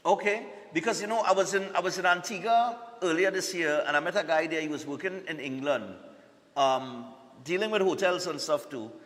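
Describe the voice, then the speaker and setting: A man's voice: a little high, fairly quick, a bit bright, big-room sound. A man hosting a live morning TV show, chatty and repetitive, often checking in with "all right?".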